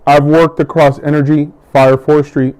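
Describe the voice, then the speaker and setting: A middle-aged man from the United States giving a measured, deliberate campaign speech, pausing between each item he lists. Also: on the high side, flat delivery, a little mellow, poor audio. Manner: measured, deliberate, with long pauses between list items. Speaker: political hopeful.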